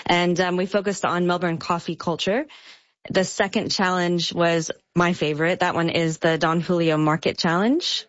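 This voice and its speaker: Upbeat woman from the US, lowish voice, dry and muffled phone-line sound. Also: no room sound. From United States. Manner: bright, upbeat, laughs easily. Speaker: bartender guest. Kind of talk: interview over a phone line.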